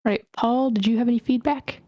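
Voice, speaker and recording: A woman's voice: on the high side, steady tone, fairly quick, mellow, dry recording, clean studio sound. A woman politely moderating a group online feedback session as its host. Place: online meeting.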